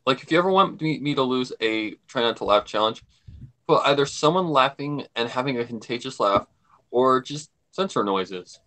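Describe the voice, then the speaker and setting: A man's voice: mid-range voice, expressive, normal speed, pushed and bright. A man joining a livestream as a guest over an online call, chatting casually and repeating himself as he rambles.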